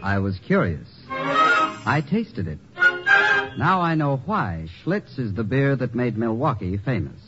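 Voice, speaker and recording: A man's voice: medium pitch, big pitch swings, slow. A man reading a scripted 1950s radio beer advertisement. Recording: muffled radio, loud music.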